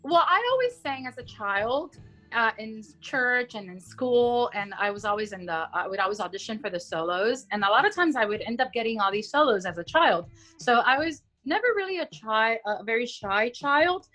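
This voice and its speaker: Bright, chatty Cuban-born woman. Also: a little high, lively intonation, normal speed, soft background music. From Cuba. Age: 40s. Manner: chatty, warm storytelling with fillers. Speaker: opera singer, guest. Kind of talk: casual video-call interview. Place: home room. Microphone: video call, laptop camera.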